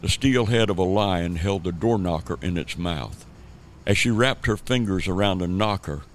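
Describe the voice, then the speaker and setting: A man from the southern United States narrating a scripted radio play as a storyteller. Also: lowish voice. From Savannah, Georgia, United States.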